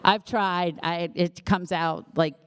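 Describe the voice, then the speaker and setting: A woman's voice: on the low side, fairly even intonation, slow, clean tone. A middle-aged woman author with a slight lisp, chatting casually and self-deprecatingly with an audience, with lots of fillers. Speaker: author at book launch. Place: event hall with live audience.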